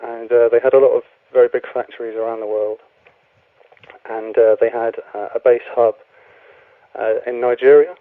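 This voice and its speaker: A young man talks over a tinny, phone-like line with dry, close sound. His voice is flat and even in pitch, and he speaks in a relaxed, hesitant way, pausing often on ums as he tells his story. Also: dry recording. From Wales. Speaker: guest author. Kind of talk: radio interview.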